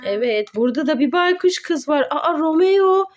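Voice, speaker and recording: A woman's voice: sits high, lively intonation, moderate pace, highs cut off. A woman presenting toys in a playful way to viewers and her child, with exclamations and simple questions in child-directed talk. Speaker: mother presenting children's toy video with her son.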